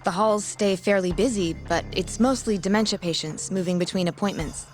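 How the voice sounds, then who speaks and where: An actress playing a polite, precise female hospital staff worker who explains things in a calm, informative way, in a game scene with faint music behind. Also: mid-range voice, fairly quick, natural voice. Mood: explaining. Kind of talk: game dub acting.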